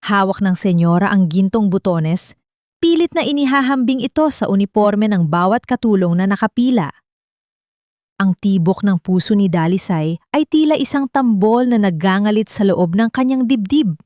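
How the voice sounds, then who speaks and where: A woman's voice: mid-range voice, lively intonation, moderate pace, darkish tone, dry recording, clean studio sound. A woman narrating a scripted audio drama in a dramatic storytelling style.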